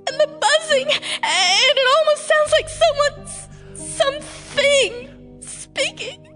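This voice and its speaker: An actress playing a frightened farm wife who pleads in a trembling, tearful voice in a radio drama. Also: female voice, extremely high pitch, takes it slowly, a bit bright, soft background music, heavily acted. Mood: desperate, tearful. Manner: pleading, trembling, breaks into sobs. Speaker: farmer's wife.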